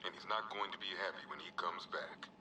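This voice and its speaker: An actor playing a gruff, world-weary American police officer, logging evidence for a video game in a terse, streetwise way with dry, wry asides. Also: male voice, low voice, fairly even intonation, brisk, far from the mic, background noise, natural voice. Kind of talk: scripted video game evidence log narration.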